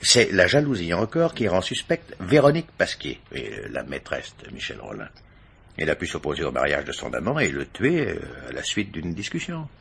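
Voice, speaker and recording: A man acting a seasoned police chief in a French radio mystery play, paternal and probing, with hesitant, drawling interjections and a gravelly voice. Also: deep voice, huge pitch swings, moderate pace, fairly bright tone, heavily acted. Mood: reasoning.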